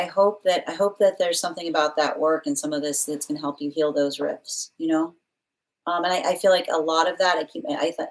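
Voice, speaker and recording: A woman's voice: deep voice, poor audio. A woman giving a live-streamed tarot reading, thinking aloud, reading viewer comments out and often restarting her phrases. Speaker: tarot reader.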